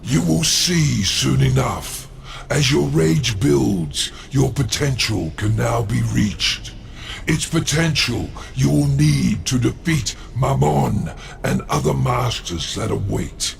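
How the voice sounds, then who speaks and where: A man voicing a grave, menacing ancient overseer spirit in a game cutscene, with a gravelly, slow and portentous delivery. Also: medium pitch, lively intonation, slight background noise, full character voice. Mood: menacing, intense. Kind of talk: video game cutscene acting.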